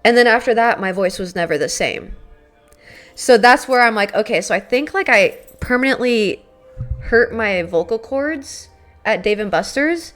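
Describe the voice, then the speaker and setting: A woman's voice: fairly high pitch, lively intonation, normal speed, fairly bright tone. A young woman, chatting casually and rambling to viewers on a live stream, with lots of fillers and swearing. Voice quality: raspy. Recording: faint background music.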